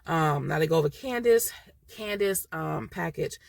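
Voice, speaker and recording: A woman's voice: sits low, normal speed, gravelly, no room sound. A woman with a stuffy nose from a cold, chatting casually and laughing often while reviewing a reality TV episode. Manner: chatty, laughs often, loose slangy talk. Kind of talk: casual chat.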